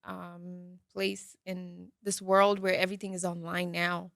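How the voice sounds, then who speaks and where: Relaxed woman with a gravelly edge. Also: on the low side, unhurried, no echo, studio quality. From Nairobi, Kenya. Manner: relaxed, with many fillers like "um" and "like". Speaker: podcast co-host, beauty content creator. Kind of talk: casual podcast chat.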